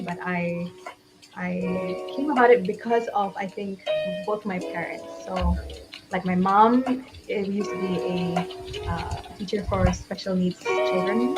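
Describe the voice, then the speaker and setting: A young Malaysian woman speaking in an English accent from home, chatty and quick to laugh as she talks about her coaching work. Her voice sits at a middle pitch, with faint music in the background. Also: low-quality recording. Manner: laughs often, chatty and upbeat. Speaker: change consultant and coach. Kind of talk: casual livestream interview.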